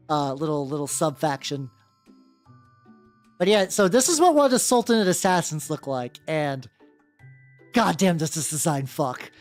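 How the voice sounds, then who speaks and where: A woman's voice: fairly low pitch, darkish tone. Chatty, profane woman streamer. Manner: rambling, chatty and profane, reacting to chat. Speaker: VTuber streamer painting miniatures. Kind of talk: casual livestream chat. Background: faint background music.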